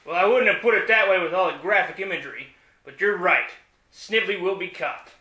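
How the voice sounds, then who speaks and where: A man's voice: high-pitched, fairly quick, pushed and bright, echoey room. A man playing an earnest, dashing cowboy hero in a comedy western radio play, gallant in manner. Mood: resolute.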